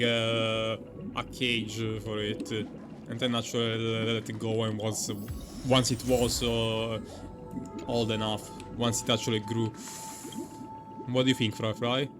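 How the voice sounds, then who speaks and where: A man giving casual, halting commentary as he plays a game, thinking aloud and repeating himself. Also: medium pitch, level tone, music in the background. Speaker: gaming streamer.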